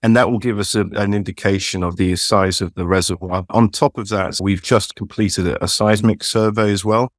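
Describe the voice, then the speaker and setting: A man, a company chief executive, answering interview questions in a measured, explanatory way with frequent fillers, speaking from home. His English accent is not North American. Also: on the low side, steady tone, no room sound, clean studio sound. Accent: non-North American English. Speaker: company CEO guest. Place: home, dog barking at times.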